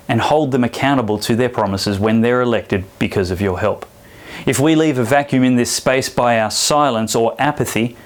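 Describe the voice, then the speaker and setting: A man giving an earnest, scripted address to viewers as a political commentator, urging them to act. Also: mid-range voice. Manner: earnest, persuasive, urging action.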